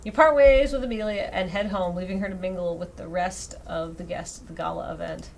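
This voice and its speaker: Expressive woman streamer reading aloud, noisy background. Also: fairly low pitch, fairly quick. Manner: expressive reading broken by wry, self-deprecating asides. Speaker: streamer reading out a dating sim visual novel. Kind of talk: live game stream, narration read aloud with casual asides. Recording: clearly audible background noise.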